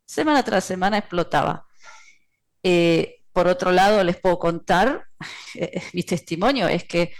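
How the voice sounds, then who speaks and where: Woman, lowish voice, steady explainer with frequent "eh"s, guest on a talk channel. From Argentina. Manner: measured, explanatory, many filler "eh"s. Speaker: guest, financial analyst. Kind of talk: interview conversation.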